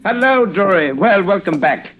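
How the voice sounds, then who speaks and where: High-voiced, chatty English police colleague. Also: male voice, sing-song, normal speed, loud music behind the voice. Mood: chatty, curious. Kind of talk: 1940s radio mystery acting. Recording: dull old radio recording.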